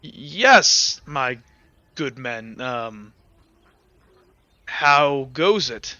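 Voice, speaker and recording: A man's voice: medium pitch, expressive, drawn-out words, sharp tone, dry recording, dull audio, heavily acted. A man voicing a stoic middle-aged farmer and father who stammers and turns flustered.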